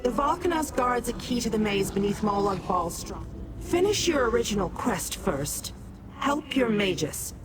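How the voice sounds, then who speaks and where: A woman's voice: on the high side, very animated, normal speed, noisy background. A woman playing a haughty, condescending immortal schemer in a fantasy video game, with a theatrical manner. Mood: commanding. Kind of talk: video game character acting.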